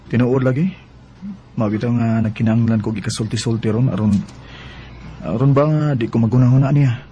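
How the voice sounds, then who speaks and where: A man's voice: mid-range voice, steady tone, brisk, mellow, muffled recording, a little hiss or hum, natural voice. A man acting a smitten working man with a wandering heart in a Bisaya radio drama, with a Cebuano Filipino accent. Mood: earnest, wooing.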